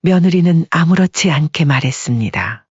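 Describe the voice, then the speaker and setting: Husky narrator with a mid-range voice. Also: medium pitch, animated, moderate pace, no room sound, muffled recording. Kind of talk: scripted story narration.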